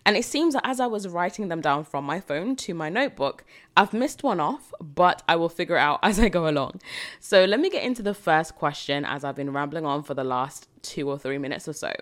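A young woman in her twenties talks through a solo podcast Q&A in a loose, reflective way, wandering into asides and laughing lightly. Her voice sits low for a woman. She grew up in Britain after moving from Jamaica as a child. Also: animated, fairly quick. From Jamaica, raised in the UK from age seven. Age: 20s. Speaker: podcast host. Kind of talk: solo podcast Q&A, casual chat.

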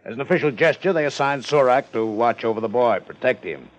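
An actor playing a police official in a 1950s radio drama, speaking in a formal, precise, dry manner. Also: male voice, mid-range voice, animated, quick pace, lightly acted. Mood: stern, official. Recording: dull old 1950s radio recording.

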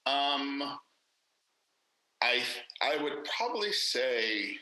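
A man's voice: medium pitch, sing-song, drawn-out words, pushed and bright, echoey room, low-quality recording. A man, an actor and director, speaking candidly and emphatically in a casual interview, with many fillers and restarts.

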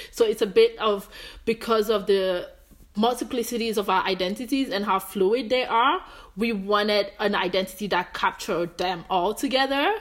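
Young adult woman from Greece, interview guest; animated, searching delivery with many restarts. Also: medium pitch. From Greece, of Nigerian heritage. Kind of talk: casual conversation.

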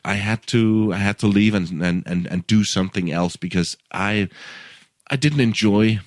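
A deep-voiced man in his fifties with a Danish accent, English not his first tongue. He talks in a loose, conversational way, restarting phrases and doubling words as he goes. The sound is dry and close. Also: normal speed, no echo. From Denmark. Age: 50s. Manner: repeats words and restarts often, chuckles, animated when quoting himself. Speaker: podcast guest, radio producer. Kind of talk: casual interview storytelling.

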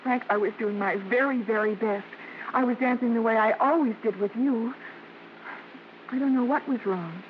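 An actress playing a proud, spirited young American vaudeville dancer, tender yet easily indignant, in theatrical 1950s radio drama. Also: female voice, a little high, normal speed, natural voice. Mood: embarrassed, indignant. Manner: theatrical, emphatic. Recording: muffled, noisy old radio broadcast.

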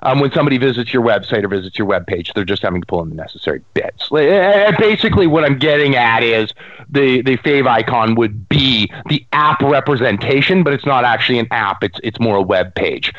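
A man's voice: medium pitch, animated, a little fast, no room sound. Stuffy guy with a cold, rambling on a talk show, dry dull sound. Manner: rambling, searching for words. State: stuffy, getting over a cold. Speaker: podcast co-host. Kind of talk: casual chat.

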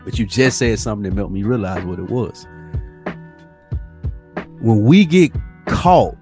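Brief: male podcast co-host, casual, profane, animated swings, music bed. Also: on the low side, measured pace. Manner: animated, swears a lot, sing-song when worked up. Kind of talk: casual chat.

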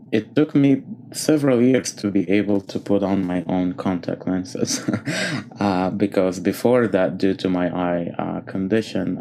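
A man from Egypt, a concert pianist, answering interview questions thoughtfully with many pauses and fillers. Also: deep voice, creaky, a little mellow, a little hiss or hum, rough recording.

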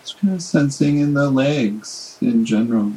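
A man leading a guided body scan meditation in a gentle, unhurried manner with long pauses. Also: mid-range voice, animated, poor audio.

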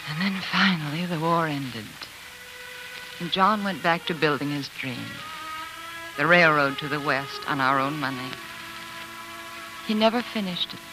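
An actress playing a devoted, determined politician's wife in an earnest, articulate 1940s radio drama style. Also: female voice, sits low, measured pace, pauses often, over music, low-quality recording. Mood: reflective, dramatic. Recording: vintage 1940s radio recording.

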